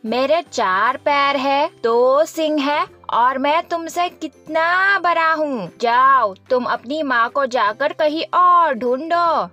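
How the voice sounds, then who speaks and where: Woman as a blunt, high-voiced storybook cow. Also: sharp tone, full character voice. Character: big, blunt, dismissive horned cow. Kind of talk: children's animated storybook acting.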